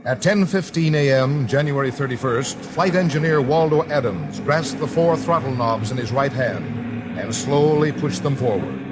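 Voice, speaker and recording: An American man narrates a 1950s radio documentary in a solemn, deliberate, scripted style with measured phrasing. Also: medium pitch, expressive, noisy background. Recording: vintage 1950s radio broadcast, music underneath. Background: dramatic music.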